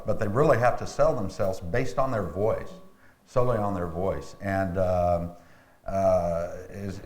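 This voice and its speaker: A man, an actor being interviewed, talking casually in a rambling, halting way that repeats phrases. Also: on the low side, reverberant.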